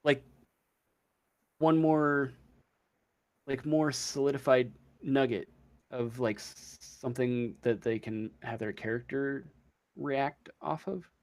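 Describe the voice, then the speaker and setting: A man speaking as game master in casual tabletop game talk, halting and searching for words as he goes. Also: medium pitch.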